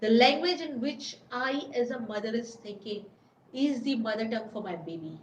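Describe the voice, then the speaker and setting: A woman's voice: on the high side, moderate pace, slight background noise. A woman giving an informal webinar talk, often repeating herself and searching for words. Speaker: webinar presenter. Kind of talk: informal lecture. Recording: roomy recording with faint background ambience.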